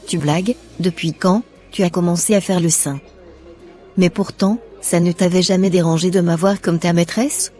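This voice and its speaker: Woman acting low-pitched scornful jilted mistress. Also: steady tone, normal speed, dark tone, faint music behind, close to an everyday voice. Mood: indignant, mocking. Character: indignant jilted young mistress, proud and scornful.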